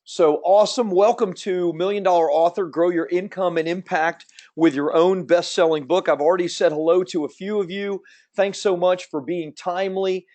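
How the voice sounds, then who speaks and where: A man in his fifties with a slight Southern American accent, rattling through a webinar at speed. His voice sits a little high for a man. His delivery is upbeat and salesy, like a pitch to an online audience. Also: steady tone, normal speed, a little mellow. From Central Florida. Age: 50s. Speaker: webinar host. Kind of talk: fast promotional webinar presentation.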